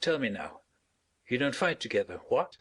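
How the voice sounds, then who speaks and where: A woman voicing a genial, wealthy middle-aged father who speaks calmly in an audiobook. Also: a bass voice, moderate pace, slightly rough, pitched below the performer's own voice, exaggerated voice. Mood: affable. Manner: calm, affable.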